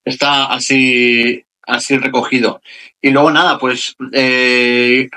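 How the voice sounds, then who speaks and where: A man's voice: mid-range voice, level tone, takes it slowly, sharp tone. A man in his early forties with a Madrid accent, giving casual answers as an interview guest. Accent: Madrid Spanish. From Madrid, Spain. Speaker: interview guest, emergency medical technician.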